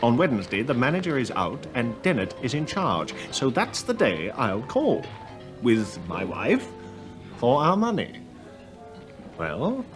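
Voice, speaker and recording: An actor playing a scheming, controlling English husband in a British radio drama, speaking in a terse, edgy, commanding way. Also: male voice, medium pitch, huge pitch swings, a little slow, over music. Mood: persuasive. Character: scheming, controlling, well-off husband under pressure.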